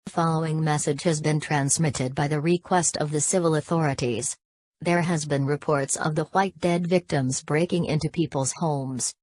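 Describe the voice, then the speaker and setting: A woman's voice: sits low, steady tone, moderate pace, a little mellow. A woman voicing a formal emergency alert announcer in a scripted broadcast, with a stilted, synthetic-sounding delivery. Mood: formal, grave.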